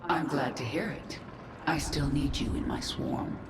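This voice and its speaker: A woman's voice: sits low, wildly swooping pitch, a little slow, noisy background, heavily acted. An actress playing a vengeful alien swarm queen in a video game, cold, resolute and commanding, with a layered processed voice. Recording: echoey, distant, under loud game music.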